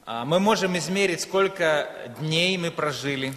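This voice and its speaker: A man's voice: fairly high pitch, normal speed, fairly bright tone. A man from Ukraine, a native Russian speaker, preaching in short phrases that leave room for an interpreter. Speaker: guest preacher. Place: church hall. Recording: echoey hall with long reverb.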